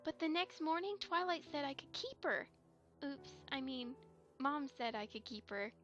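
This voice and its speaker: A woman plays a young pony girl in a fan radio play. The voice is very high and childlike, with an earnest, hesitant delivery. It sounds slightly lo-fi, with music behind it. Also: expressive, moderate pace, fairly bright tone. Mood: sheepish, earnest. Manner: hesitant, earnest, stammering over confessions. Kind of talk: fan audio drama acting. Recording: slightly dull, lo-fi microphone.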